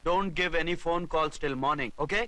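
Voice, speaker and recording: An actor playing a flustered, evasive bridegroom caught between two wives in a film comedy, speaking hesitantly. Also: male voice, on the high side, very slow, sharp tone, no echo, exaggerated voice. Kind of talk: film comedy-drama acting.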